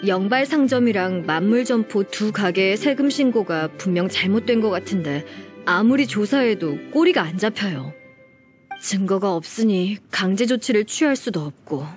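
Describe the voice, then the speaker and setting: A woman performs a polite young office worker from a video game, respectful and a little flustered. The voice sits at a medium pitch with music behind it. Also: animated, moderate pace, natural voice. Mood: troubled. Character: earnest, deferential younger female office colleague, slightly flustered. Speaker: game character voice actor. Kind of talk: video game story voice acting.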